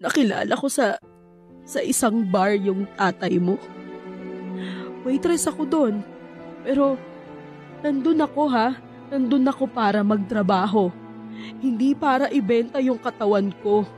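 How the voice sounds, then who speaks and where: A woman's voice: fairly high pitch, a little slow, long pauses, a little mellow, faint music behind, close to an everyday voice. An actress playing a harsh, bitter middle-aged Filipino mother in a radio drama. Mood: bitter, defensive.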